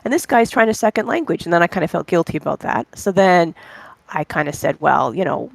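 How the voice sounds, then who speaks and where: A native English speaker chatting casually, telling rambling, animated stories and voicing their own thoughts aloud. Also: mid-range voice, brisk, creaky, no room sound. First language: English, speaks only one language. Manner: rambling, animated anecdotes with voiced inner thoughts. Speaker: interviewee, online language-club member. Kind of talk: casual conversational storytelling.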